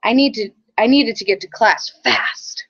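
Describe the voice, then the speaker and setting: A woman teacher giving a read-aloud lesson to young children in a halting, explanatory way. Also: a little high, a bit bright, husky. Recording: dull lo-fi recording.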